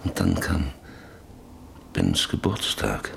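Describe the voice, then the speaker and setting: Deep, gravelly stern farmer father. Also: sing-song, takes it slowly, a little hiss or hum, full character voice. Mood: brooding narration. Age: middle-aged. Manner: commanding, blunt, cutting others short. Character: stern, domineering farmer father, hard and shrewd. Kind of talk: German radio drama acting.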